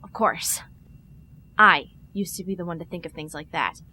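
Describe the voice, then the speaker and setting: A woman playing an earnest, sharp starship security lieutenant in an audio drama, serious and direct. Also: lowish voice, normal speed, a little hiss or hum. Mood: probing.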